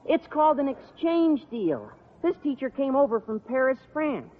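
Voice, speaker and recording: An actor playing an earnest, long-winded, lovesick high school boy with a whiny delivery in a vintage radio sitcom. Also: big pitch swings, moderate pace. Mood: worried, earnest. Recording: tinny vintage radio broadcast.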